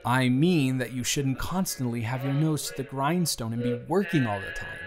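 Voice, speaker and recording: Music plays behind a man acting as an office boss in his early thirties, his voice at an average male pitch. He speaks straight to the listener as in a romantic audio roleplay. He is earnest and encouraging, with a chatty, playfully overblown way of putting things. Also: moderate pace, lightly acted. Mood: sincere, encouraging. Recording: background music.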